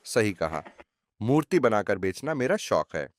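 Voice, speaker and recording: Man playing a miserly, animated village shopkeeper. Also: on the low side, unhurried, a crowd in the background. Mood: boastful. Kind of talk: Hindi cartoon story dubbing.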